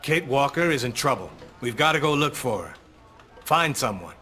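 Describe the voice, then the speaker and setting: An actor playing a brusque, commanding boss in a video game, with a clipped, authoritative way of talking. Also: male voice, medium pitch, sing-song, normal speed, pushed and bright, soft background music. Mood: urgent, exasperated.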